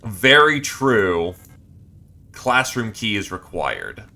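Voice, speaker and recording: Male game streamer, low but bright voice, animated, reads text aloud with loud outbursts. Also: takes it slowly, gravelly. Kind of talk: live gameplay commentary.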